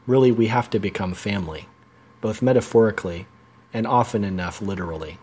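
Guy with a low husky voice, earnest measured intro for his podcast. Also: darkish tone. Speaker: podcast host and interviewer. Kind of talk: scripted introduction then casual interview.

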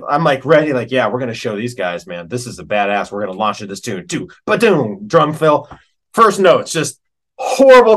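A man chatting casually as an interview guest, telling stories in a slangy, animated, self-deprecating way. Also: on the high side. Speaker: interview guest, professional keyboard player and organist.

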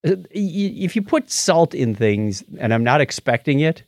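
A man's voice: a little high, very animated, moderate pace, no room sound. A man co-hosting a podcast, chatting casually and jokily about news, often chuckling as he goes. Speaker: podcast co-host. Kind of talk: casual tech news chat.

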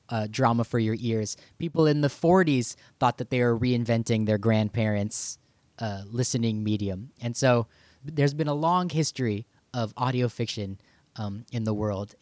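A man hosting a podcast in a conversational interview style, with informal, halting phrasing. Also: medium pitch, darkish tone, dry recording. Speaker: podcast host.

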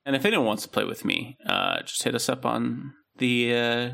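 A man's voice: medium pitch, moderate pace, creaky, pushed and bright. A man co-hosting a podcast, chatting casually and rambling with many ums and restarts. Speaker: podcast co-host. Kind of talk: casual chat.

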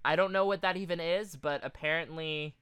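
A man with a bright, crisp, slightly high voice chats as a podcast co-host. He announces items and follows them with quick, casual, sometimes sweary commentary. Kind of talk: casual chat, reading out a list with quips.

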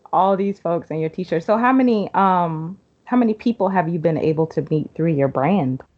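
A woman's voice: on the low side, expressive, moderate pace, lo-fi sound. A woman hosting a podcast, asking her guest questions in a conversational way. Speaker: podcast host, interviewer. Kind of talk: conversational interviewing.

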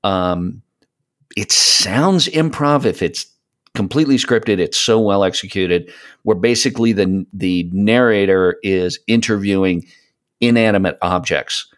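Male podcast guest, low-pitched voice, casual and rambling, feeling around for the right words. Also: a little slow. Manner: rambling, hesitant searching for words. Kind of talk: casual podcast chat, recommending audio dramas.

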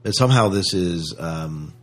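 A man with a deep, somewhat rough and husky voice talks as a podcast co-host. He works through his thoughts out loud in a casual, questioning way. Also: expressive, moderate pace. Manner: questioning, thinks aloud. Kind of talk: casual chat discussion.

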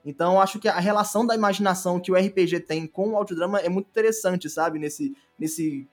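A man's voice: high-pitched, fast, faint music behind. A Brazilian man talking casually as a podcast guest about his creative work, enthusiastic and often repeating phrases as he explains.

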